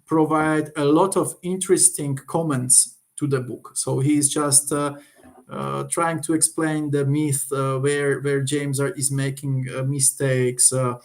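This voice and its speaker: A man from Slovakia with a Slovak accent gives an informal, unscripted review, halting as he searches for words. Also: medium pitch, little pitch movement. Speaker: occult book reviewer.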